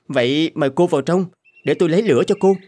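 A man's voice: fairly high pitch, fairly quick, lightly acted. Man acting an earnest, courteous young scholar. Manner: courteous, gentle. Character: polite, earnest, devoted young male scholar. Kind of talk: animated fairy tale voice acting. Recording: faint music under the voice.

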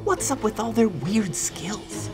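A man acting a bewildered, incredulous royal knight in an animated fairy tale, with music behind his voice. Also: high voice, slow pace, full character voice. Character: bewildered male royal knight, homeless traveller. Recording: voice over loud background music.

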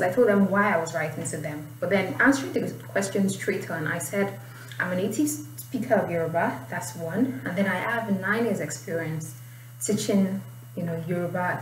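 A woman's voice: on the low side, moderate pace. A young adult woman with a Nigerian accent, teaching in an informal tutorial style. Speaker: IELTS writing teacher. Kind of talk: informal tutorial, explaining and reading aloud. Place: small indoor room. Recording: roomy, distant mic, faint noise.

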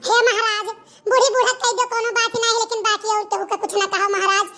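A woman's voice: very high-pitched, fairly even intonation, fast, sharp tone, full character voice. A woman voicing a meek young village mother, pleading humbly in a cartoon. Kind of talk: cartoon voice acting.

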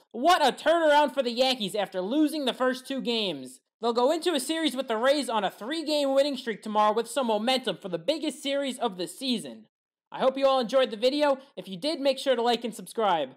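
A woman giving scripted play-by-play recap commentary over sports highlights. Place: voice-over recorded apart from the game.

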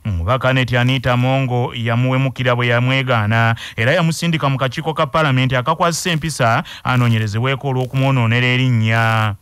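A man reading the news in a brisk, formal way, with a Ugandan accent. His delivery is fast and his voice is smooth and clean. It is recorded dry and close in a studio. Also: mid-range voice, fairly even intonation, fairly bright tone, dry recording. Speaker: news anchor.

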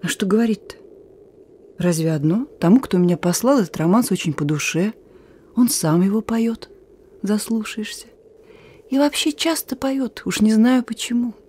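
A woman's voice: medium pitch, breathy edge, close to an everyday voice. An actress in a radio play voicing a bold, teasing young woman who is a wartime partisan messenger. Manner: playful, teasing, brisk, sometimes singing softly.

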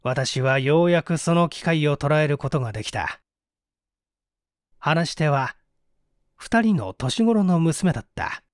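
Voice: medium pitch, expressive, measured pace, long pauses, no echo, clean studio sound. A narrator reading a mystery novel aloud as scripted literary storytelling. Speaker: audiobook reader.

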